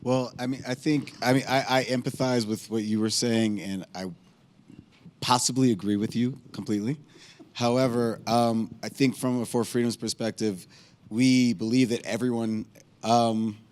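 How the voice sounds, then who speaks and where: A man speaking as a panelist at a town hall, earnest and halting, stumbling over repeated words. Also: medium pitch, darkish tone.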